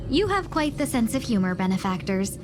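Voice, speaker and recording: A woman playing a polite, silver-tongued merchant guide in a video game, speaking in formal, measured phrases. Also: fairly high pitch, very animated. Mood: gently wry. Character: courteous, silver-tongued young female merchant envoy and guide. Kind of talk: video game cutscene acting. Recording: music behind the voice. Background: music.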